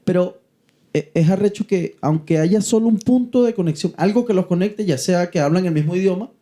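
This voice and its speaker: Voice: medium pitch, fairly quick, mellow. A podcast co-host from Venezuela, explaining in a casual way and often checking that they are understood. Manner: keeps asking "¿sí me explico?".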